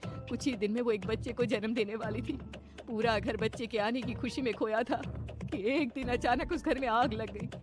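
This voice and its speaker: A woman's voice: a little high, fairly even intonation, moderate pace, over music, voice pushed up, lightly acted. A woman voicing an older mother-in-law, worried and grave, speaking with dramatic emphasis in a horror story. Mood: grave warning.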